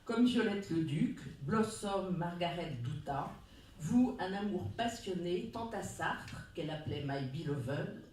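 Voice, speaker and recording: Round-table speaker, measured formal reading, far from the mic, booming room. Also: expressive, darkish tone, a little hiss or hum. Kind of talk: reading a prepared talk. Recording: very echoey room, distant mic.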